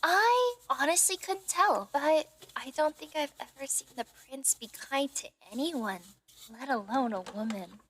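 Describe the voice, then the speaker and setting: A young woman acting a chatty, excitable palace gossip in an expressive, theatrical way for a fantasy audio drama. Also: high voice, measured pace, slight background noise, poor audio. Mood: gossipy, excited.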